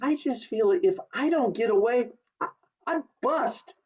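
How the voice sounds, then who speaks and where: A man playing a restless, eager young small-town man with big dreams in an amateur radio play, earnest and expressive. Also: extremely high pitch, unhurried. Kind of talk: community theatre radio play acting. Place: remote video call. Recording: dull lo-fi video call audio.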